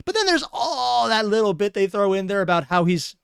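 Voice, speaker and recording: A man's voice: sits high, very animated, a little fast, gravelly, a bit bright, smooth, no echo, studio quality. A man hosting a reaction video, commenting casually in a rambling, analytical way.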